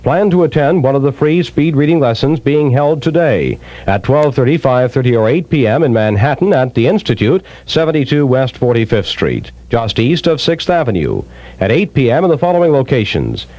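Animated male spokesman, dry radio spot. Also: medium pitch, moderate pace, no echo. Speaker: commercial spokesman. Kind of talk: scripted radio advertisement. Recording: dry 1970s radio commercial.

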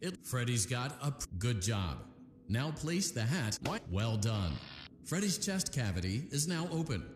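An actor playing a calm, upbeat male voice that gives repair instructions in a video game. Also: medium pitch, a little slow. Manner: calm, upbeat corporate training tone. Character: friendly male corporate repair-training voice. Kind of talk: scripted game voice-over instructions. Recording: echoey reverb with game music behind.

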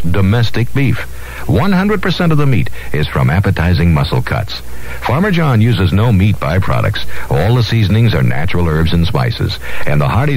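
Low-voiced, expressive male ad announcer. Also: poor audio. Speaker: commercial announcer. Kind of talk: scripted 1970s radio ad read. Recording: vintage AM radio aircheck.

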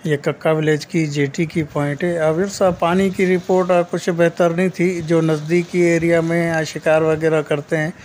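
Flat-toned, higher-pitched Pakistani man reporting. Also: slight background noise. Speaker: host of fish market report vlog. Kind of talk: informal market report narration.

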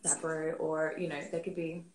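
A woman's voice: low voice, flat delivery, normal speed. A woman in her thirties from Australia, talking casually as a beer expert guest on a video call from home, full of fillers and restarts. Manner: chatty, many fillers and restarts. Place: living room at home. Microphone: laptop webcam on video call. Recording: roomy webcam audio.

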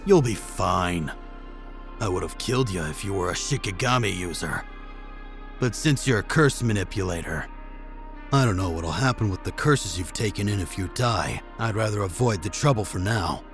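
A cold-blooded, cocky anime assassin voiced by a man speaks in a lowish pitch over prominent background music. He is nonchalant and taunting, casually cruel in everything he says. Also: expressive, moderate pace. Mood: dismissive. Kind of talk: amateur anime manga dub acting.

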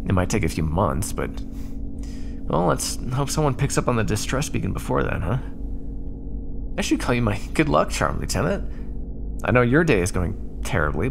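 Guy doing asmr roleplay, gravelly, lively teasing banter, bit of hiss behind. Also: medium pitch.

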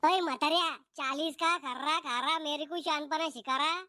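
A man plays a brazen teenage girl from a comedy cartoon, extremely high-pitched. She boasts and threatens insolently in a Vidarbha Marathi accent, with a dry, close sound. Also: steady tone, normal speed, bright, forceful tone, no echo. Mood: cocky, threatening. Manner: cocky, insolent. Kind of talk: comic cartoon dialogue.

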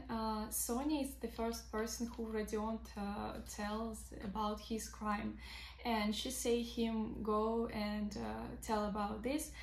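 Russian woman, creaky, in an echoey room. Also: fairly high pitch, slight background noise. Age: young adult. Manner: halting, many uh fillers, simple non-native grammar. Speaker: Russian literature teacher and vlogger. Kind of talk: casual talk to camera. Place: bright bedroom by big windows, indoors.

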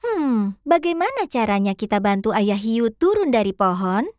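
A woman's voice: on the high side, very animated, moderate pace, a little mellow, no echo, studio quality. A woman narrating a children's cartoon in a sing-song manner, speaking to young viewers.

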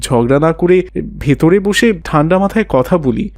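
Man acting as a calm father, polite, conciliatory, slightly high pitch, dry studio cartoon dialogue. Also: moderate pace, no room sound.

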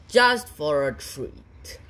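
A woman's voice: low voice, sing-song, drawn-out words, fairly bright tone, a little hiss or hum, full character voice. A woman reading the lines of a patient, easygoing father in a children's storybook.

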